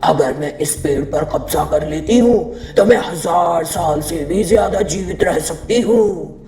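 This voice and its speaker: A man's voice: a little high, a little slow, mellow, roomy sound. A man voicing a power-hungry, menacing female ghost in a story, gloating and boastful as a villain. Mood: scheming, gloating.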